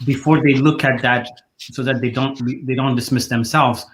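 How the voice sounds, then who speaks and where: A man hosting a live online talk show, speaking earnestly with halting phrases and fillers. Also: medium pitch, fairly even intonation, a little hiss or hum. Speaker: host.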